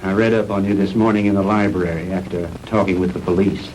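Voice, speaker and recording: Casting: a man to play an upright, indignant American hero in a 1960s sci-fi B-movie, fairly deep, stiff delivery, noisy audio. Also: steady tone, fast talker, natural voice. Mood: measured. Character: earnest, indignant male investigator confronting a villain. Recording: noisy old 1960s film soundtrack.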